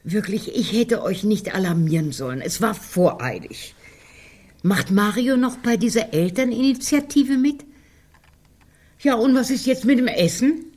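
An actress playing an anxious, placating older sister and hostess in a radio play, fussing and appeasing. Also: female voice, on the high side, animated, measured pace, darkish tone. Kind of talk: naturalistic radio play acting.

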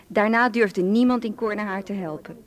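A woman's voice: mid-range voice, moderate pace, natural voice. A woman playing a smuggler boss's amused, flirtatious and ruthless sister in a radio drama, with a cool, teasing delivery.

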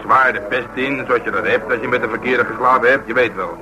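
An actor playing a burly, blunt, stubborn police detective in a radio play, talking gruffly and colloquially. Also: male voice, medium pitch, fairly even intonation, fairly quick, lo-fi sound, natural voice. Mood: insistent, earnest. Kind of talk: naturalistic radio play dialogue.

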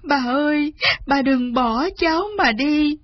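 Lady playing a little storybook boy, high voice, pleading and tearful, bit muffled recording. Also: animated, takes it slowly, no echo. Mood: sobbing remorse. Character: remorseful young boy pleading with his grandmother.

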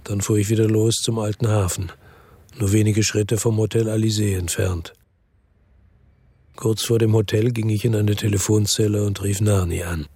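An actor playing a fatalistic, resolute hard-boiled man who narrates his revenge tersely in a radio drama, with a gravelly voice. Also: fairly low pitch, warm tone, no echo, heavily acted. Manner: terse, brooding. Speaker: first-person narrator. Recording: music under the voice.

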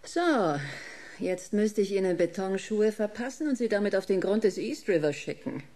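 Smug, mellow-voiced gangster boss lady, played by an actress. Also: medium pitch, lively intonation, normal speed, natural voice. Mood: mocking, gloating. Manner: mocking, theatrical, savouring each word. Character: smug murderous female corporate director playing a gangster boss. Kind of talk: German radio crime drama acting.

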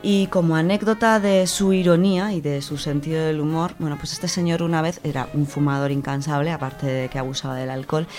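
A woman's voice: deep voice, fairly even intonation, brisk, few pauses, music in the background. A woman with an accent from Spain giving an enthusiastic, informal, conversational book review on a radio show, partly from prepared notes.

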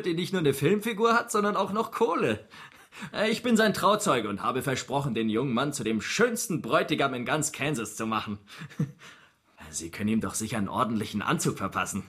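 Husky, cocky young con man. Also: medium pitch, a bit bright. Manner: brash, mocking, impatient. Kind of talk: radio drama acting.

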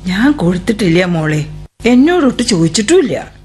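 A woman's voice: mid-range voice, sing-song, moderate pace, lightly acted. An actress playing a busy middle-aged mother calling the family to dinner. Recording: vintage radio broadcast with music and dishes.

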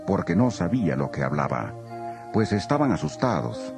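A man's voice: deep voice, sing-song, normal speed, vocal fry. A man narrating a dramatized Bible in a solemn storytelling style. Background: soft music.

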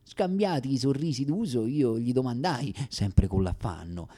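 Deliberate, theatrical male monologuist. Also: mid-range voice, expressive, dark tone. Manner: deliberate, wry, dramatic phrasing with pauses. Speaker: stage actor, monologuist. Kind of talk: theatrical comic monologue for radio.

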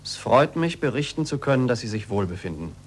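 An actor playing a scientist's former male pupil in a 1940s radio drama, formal, measured and coolly reproachful. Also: medium pitch, big pitch swings, close to an everyday voice. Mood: stiffly polite. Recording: noisy 1940s radio recording.

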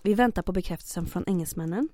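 A woman's voice: lowish voice, warm tone, close to an everyday voice. A woman playing an efficient, discreet, earnest secretary in a comic wartime radio drama. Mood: confidential, earnest. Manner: earnest, businesslike.

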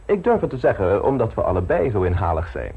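Husky, suave scheming gentleman. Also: lowish voice, sing-song, fast, narrow, tinny audio, full character voice. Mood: teasing, ardent. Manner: polished, self-assured, teasingly frank. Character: suave, ambitious, witty gentleman politician, scheming charmer. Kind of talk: old radio play acting.

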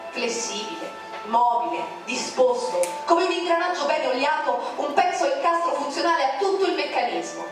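Woman, high voice, declamatory poetry reader, far off in a booming room. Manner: declamatory, with sharp swings in pitch. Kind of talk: dramatic poetry recitation. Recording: very echoey, distant, with music. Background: music.

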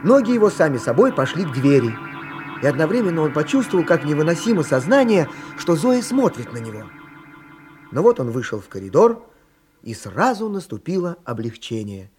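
A man's voice: on the high side, sing-song, a little fast, a little mellow. A man narrating a children's radio play as a storyteller, reading scripted prose. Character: expressive male storyteller narrating a children's tale. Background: music.

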